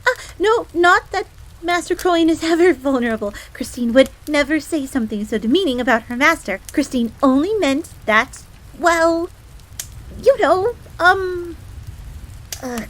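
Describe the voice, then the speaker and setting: A woman's voice: sits high, a little slow, low-quality recording. A woman playing a loyal, easily flustered tsundere fox maid in an audio roleplay, speaking formally and stiffly and referring to herself in the third person. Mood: flustered, defensive. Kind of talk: scripted audio roleplay acting. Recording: steady rain noise in background. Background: rain ambience.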